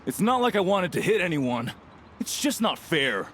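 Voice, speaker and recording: Surly, wildly swooping young punk. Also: male voice, high voice, big pitch swings, moderate pace, a bit bright, a little hiss or hum. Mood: aggrieved. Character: aggrieved male punk, surly bullying troublemaker. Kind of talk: video game voice acting.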